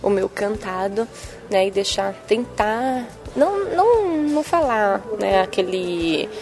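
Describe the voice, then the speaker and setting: A young adult woman journalist with a soft Bahian accent, answering interview questions in a sing-song manner with frequent tag questions. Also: mid-range voice, lively intonation, slow, over music. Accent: Bahian Brazilian Portuguese. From Bahia, Brazil. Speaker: TV journalist and presenter.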